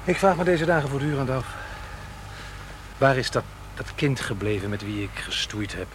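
An actor playing a troubled, reproachful divorced father in middle age, halting and earnest, in a Dutch radio play. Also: medium pitch, animated, slight background noise, natural voice. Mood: wistful, pleading. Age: middle-aged. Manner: halting, earnest, self-questioning.

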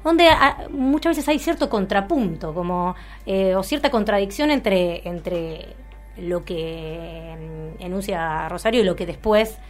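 A woman's voice: mid-range voice, animated, a little slow. An Argentine woman co-hosting a radio show, commenting casually and hesitantly as she searches for words. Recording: music bed under the voice. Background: music.

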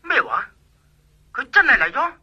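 An actor playing a brash, self-important police officer in a Cantonese radio drama, speaking pompously and emphatically through a telephone filter with a Hong Kong accent. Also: male voice, very high voice, sing-song, moderate pace, breathy edge, exaggerated voice. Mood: startled, urgent. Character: brash, self-important police special investigations lieutenant colonel. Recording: muffled telephone-line sound.

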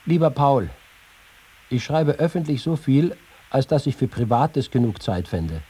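A wry young writer telling his own stories in a radio feature. His voice is mellow and husky, at a middle pitch. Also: sing-song, a little slow. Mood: wry complaint. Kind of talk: literary reading in a radio feature.

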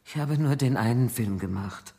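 An actress playing a starved, subdued woman prisoner in a radio drama, speaking in a halting, deliberate way. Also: rumbling low, dark tone, slightly rough, heavily acted. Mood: timid, halting. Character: starved, subdued female prisoner back from Siberian camp. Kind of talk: radio drama acting and first-person narration.

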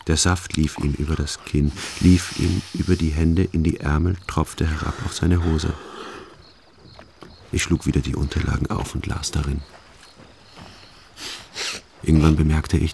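Radio drama narrator: detached, ruminating man, very low and creaky. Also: darkish tone, a little hiss or hum, heavily acted. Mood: detached, observing. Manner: sober, introspective, deliberate. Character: brooding, detached first-person male narrator, coldly ruminating. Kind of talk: scripted first-person radio drama narration.

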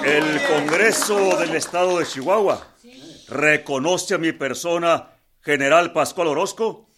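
An actor playing a pompous revolutionary general, declaiming to the people in a radio drama. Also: male voice, on the high side, unhurried, a loud crowd, natural voice. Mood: solemn.

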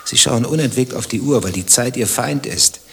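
Casting: nosy, suspicious stranger for radio satire. Bright, forceful voice, deadpan insinuation, clear background noise. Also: male voice, mid-range voice, normal speed. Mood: probing, suspicious. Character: nosy, suspicious male stranger pestering a waiting man. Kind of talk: dialogue-driven satirical radio drama acting.

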